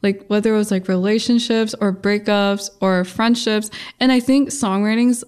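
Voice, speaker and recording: A woman's voice: mid-range voice, fairly even intonation, normal speed, darkish tone, clear, resonant tone. Chatty teenage girl, guest musician. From Massachusetts. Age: teens. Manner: chatty, many "like" fillers. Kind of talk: casual interview answers.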